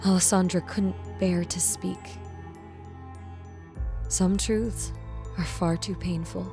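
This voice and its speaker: A woman's voice: lowish voice, fairly even intonation, slow, loud music behind the voice. A woman giving dramatic scripted narration of a romance story.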